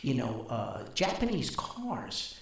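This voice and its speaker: Animated older man in a very echoey room. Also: mid-range voice, vocal fry. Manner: folksy, wry, animated, halting with long asides. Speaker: podcast host and author. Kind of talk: rambling casual storytelling.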